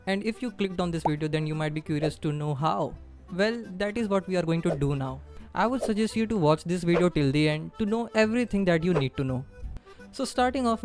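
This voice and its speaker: A man's voice: high-pitched, a little mellow. A man from India with a strong Indian accent, narrating a scripted explainer and addressing the viewer directly.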